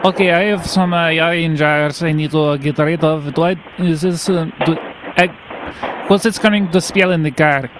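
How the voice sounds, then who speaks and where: A man's voice: a little high, fairly even intonation, normal speed, background noise. A man hosting a live comedy radio show with prank calls, rambling and stumbling over his words while laughing at himself.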